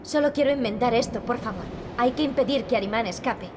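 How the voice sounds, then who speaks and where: An actress playing an earnest, determined young princess in a video game. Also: female voice, on the high side, fairly quick, noisy background, close to an everyday voice. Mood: remorseful. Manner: earnest, serious. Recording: loud game music behind. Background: music.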